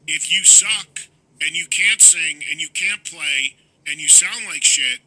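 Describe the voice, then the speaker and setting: A man's voice: on the high side, measured pace, pushed and bright, low-quality recording. A man hosting a radio interview, talkative and emphatic, telling stories at length. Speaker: radio host.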